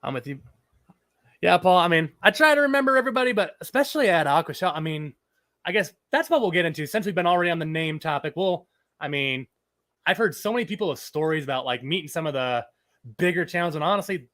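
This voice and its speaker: A man's voice: on the high side, fairly bright tone. A man hosting a casual live chat stream, his voice a little scratchy from a lingering cold, rambling and reading out viewers' messages. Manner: chatty, rambling, reads chat aloud. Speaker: livestream host.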